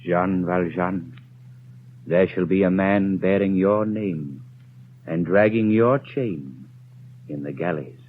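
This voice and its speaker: An actor voicing a solemn, accusing inner voice of conscience in a 1930s radio drama. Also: male voice, low voice, takes it slowly, a little hiss or hum. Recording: thin phone-like 1930s radio sound.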